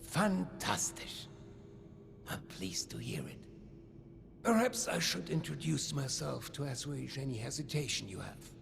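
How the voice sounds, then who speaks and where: A man's voice: medium pitch, very animated, measured pace, creaky, noisy background, heavily acted. An actor playing a suave, pompous older German vault overseer and businessman in a video game, courtly and flamboyant in manner. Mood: grandiose, welcoming. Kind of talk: scripted video game dialogue.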